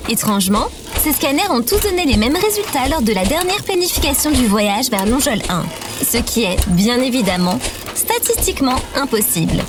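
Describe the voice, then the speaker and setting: Woman playing a high, lively, eager robot companion. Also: normal speed, over music. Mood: matter-of-fact. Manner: enthusiastic, precise, explanatory. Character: cheerful female robot companion, eager, naively fierce. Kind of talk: audio fiction acting.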